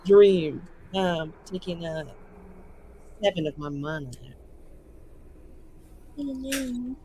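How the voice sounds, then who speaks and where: A woman's voice: on the low side, lively intonation, slow pace, mellow, a little hiss or hum. A woman playing a tabletop roleplaying game, talking casually and improvising in a giggly, rambling, playful way.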